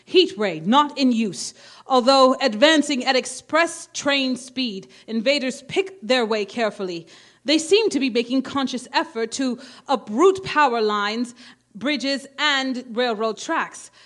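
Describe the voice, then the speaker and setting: An actress playing an earnest radio news announcer, reading bulletins in a formal, clipped, urgent way in 1930s radio drama style. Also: female voice, sits high, a little slow, fairly bright tone.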